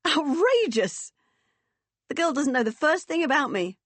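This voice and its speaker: A woman voicing a hearty, jolly middle-aged woman in an audiobook, with a booming, brisk manner. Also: sing-song, no room sound. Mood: indignant, amused. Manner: booming, brisk, jolly posh turns of phrase.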